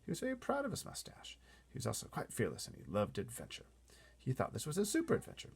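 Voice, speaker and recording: A man's voice: medium pitch, wildly swooping pitch, fast, gravelly, breathy edge. A man narrating a storybook in a dramatic, theatrical and suspenseful readaloud style. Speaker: storybook reader.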